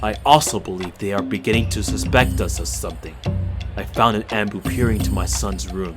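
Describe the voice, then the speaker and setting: A man acting a proud, guarded, bitter clan warrior in an anime-style audio drama, speaking in a grave, measured, formal way. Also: fairly low pitch, close to an everyday voice. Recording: dramatic music behind the voice.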